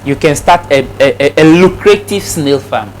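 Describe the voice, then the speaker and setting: A man's voice: fairly high pitch, animated, moderate pace, a little hiss or hum, rough recording. A man with a Ghanaian accent answering casually as an interview guest and explaining things like a trainer. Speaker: interview guest, snail farming trainer and channel owner.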